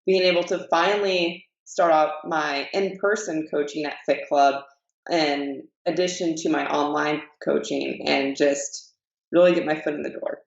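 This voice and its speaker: A woman's voice: sits low, steady tone, measured pace, gravelly, muffled recording. Upbeat young woman in a roomy space. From Iowa. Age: 20s. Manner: upbeat, storytelling. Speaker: guest, fitness coach. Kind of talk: casual chat.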